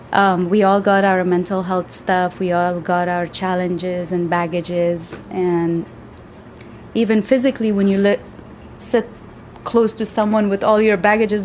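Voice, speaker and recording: A woman's voice: medium pitch, level tone, measured pace, darkish tone, slight background noise. A woman talking casually and reflectively in an interview. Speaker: resident of a collective house, interviewee.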